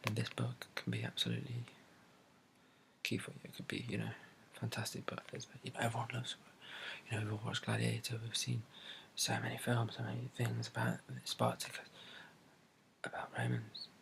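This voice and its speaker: A soft-spoken British man in a breathy ASMR bookshop roleplay, describing books in a hesitant, rambling way and repeating his phrases. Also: medium pitch, sing-song, normal speed, a little hiss or hum. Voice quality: breathy, soft, often dropping to a whisper. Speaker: ASMR creator playing a bookshop assistant.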